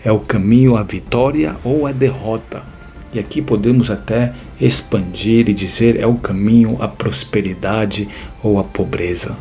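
A man hosting an educational series, explaining ideas in a measured lecture with deliberate, emphatic repetitions. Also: lowish voice, a little mellow, faint music behind. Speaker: host of an educational series on strategy.

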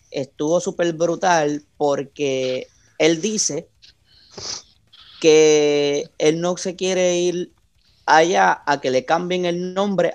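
Animated man chatting. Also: fairly high pitch. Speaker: wrestling podcast co-host. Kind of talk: casual chat.

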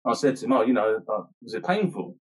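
A man's voice: mid-range voice, animated, fast. A British man, a writer being interviewed, explaining himself casually in a loose, rambling, self-correcting way.

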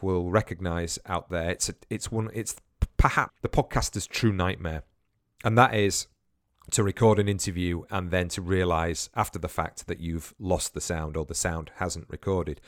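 A man's voice: low voice, no echo, studio quality. A man hosting a podcast, talking casually and rambling, with frequent restarts and repeated words. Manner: chatty, rambling with many restarts. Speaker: podcast host. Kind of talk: casual solo podcast talk. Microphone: remote-recording podcast setup with headphones.

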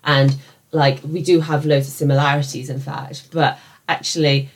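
A woman's voice: low voice, flat delivery, moderate pace. Young woman, British accent, podcast co-host, casual conversational style. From England. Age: young adult.

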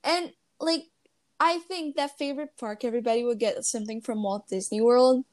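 A woman's voice: a little high, moderate pace. A teenage girl from Brazil, not a native English speaker, co-hosting a casual podcast and chatting with lots of fillers and giggles. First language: Portuguese. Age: teens. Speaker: podcast co-host. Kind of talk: casual chat.

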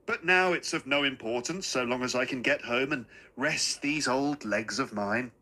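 A man voicing a gentle, forgetful little old gentleman in a story, pleading in a courteous, old-fashioned and flustered manner. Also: mid-range voice, normal speed. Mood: gentle, persuasive.

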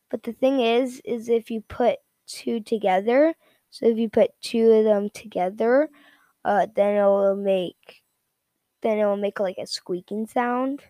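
A woman with a fairly high voice talks through products off the cuff. Her delivery is informal and halting, and she often repeats herself. The sound is close and dry. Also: warm tone, no echo. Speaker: product reviewer. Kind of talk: casual, unscripted product rundown.

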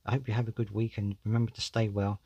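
A man's voice: lowish voice, level tone, fast, mellow, husky, dry recording, lo-fi sound. A man, hosting a solo podcast in a candid, conversational way, often saying "you know". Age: 40s. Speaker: podcast host.

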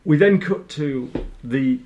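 A man in casual podcast chat, throwing in jokes and quick questions. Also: fairly high pitch, dark tone, highs cut off, a little hiss or hum. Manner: joking asides and quick questions. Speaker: podcast co-host. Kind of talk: casual chat recapping a TV episode.

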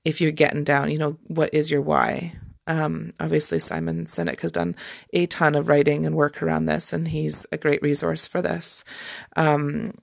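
Creaky-voiced podcast coach. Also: mid-range voice, level tone, moderate pace. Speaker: life coach hosting a podcast. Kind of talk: informal solo podcast talk about coaching.

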